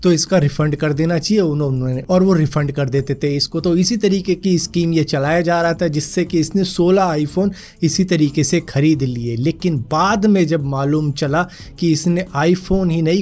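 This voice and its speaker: A young man with a hoarse throat after a fever, casually rounding up news stories for his viewers. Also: on the high side, normal speed, hardly any pauses, darkish tone. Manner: chatty, addresses viewers as friends. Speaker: news vlogger.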